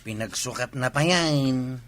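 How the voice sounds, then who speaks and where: A man acting a cheeky, nosy young village helper in an Ilocano comedy radio drama, blunt and loud-mouthed. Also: medium pitch, measured pace, lightly acted. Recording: prominent music behind the voice.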